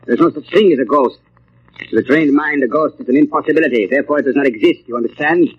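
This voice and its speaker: An actor playing a pompous, sinister mind reader who craves power, in the theatrical style of an old radio drama. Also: male voice, on the high side, fast talker, slightly rough. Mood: haughty, scornful. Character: imperious, sinister male mind reader, megalomaniac turning panicked. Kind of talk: 1940s radio drama acting. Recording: muffled old radio recording, faint noise.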